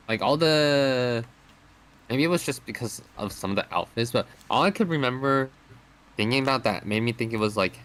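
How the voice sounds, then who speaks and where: A man co-hosting a casual anime chat, rambling and halting with lots of "like" fillers. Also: mid-range voice, fairly bright tone. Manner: rambling, halting, many likes. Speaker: podcast co-host.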